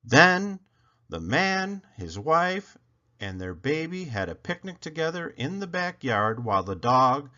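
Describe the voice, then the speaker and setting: Animated, bright-voiced man reading a storybook. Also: a little high, highs cut off. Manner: expressive, dramatic pauses, drawn-out refrain. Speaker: read-aloud storyteller. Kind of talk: animated children's picture book reading.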